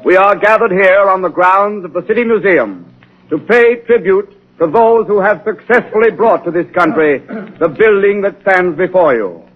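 An actor playing a formal, declamatory museum official in a 1930s radio drama. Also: male voice, high-pitched, moderate pace. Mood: formal, ceremonial. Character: formal museum official making a ceremonial speech, then alarmed. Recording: dull vintage 1930s radio recording.

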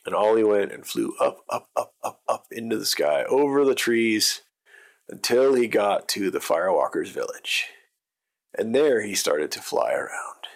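A man's voice: lowish voice, animated, measured pace, creaky. A man narrating an improvised bedtime story for children, with simple wording and emphatic repetition.

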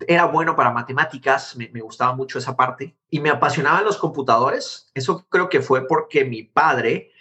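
A man from Guatemala in his thirties, an interview guest telling his story in a quick, animated conversational way. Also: mid-range voice. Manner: animated, quick, jumps between anecdotes. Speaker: interview guest, tech founder.

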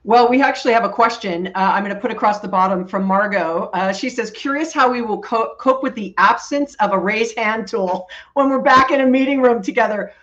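A woman hosting a webinar, briskly reading out audience questions. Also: on the high side, fairly bright tone, muffled recording. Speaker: webinar host. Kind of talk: moderating a webinar.

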